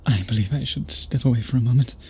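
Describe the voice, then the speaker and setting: A man playing an obsessed, tormented vampire suitor in an intimate ASMR roleplay, speaking formally and haltingly in a breathy, husky voice. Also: medium pitch, dark tone, slight background noise, close to an everyday voice. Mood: remorseful, worried. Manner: formal, theatrical, halting speech with dramatic pauses.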